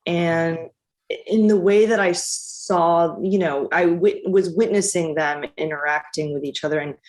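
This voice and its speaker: A woman's voice: on the low side, fairly even intonation, measured pace, a little mellow. A woman, a documentary director, answering interview questions in a reflective, casual way with many restarts and fillers. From United States.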